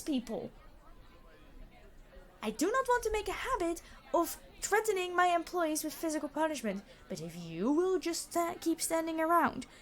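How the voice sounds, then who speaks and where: A woman playing a reluctantly decent supervillain in an audio roleplay, speaking in a dry, formal, bossy, matter-of-fact way. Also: high-pitched. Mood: stern, threatening. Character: famous immortal supervillain, exasperated, reluctantly decent. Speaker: robbery leader.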